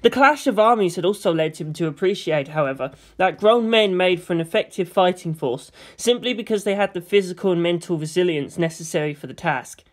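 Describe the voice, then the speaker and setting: A woman's voice: fairly low pitch, brisk, hardly any pauses. A woman reading scripted fiction as an audiobook narrator.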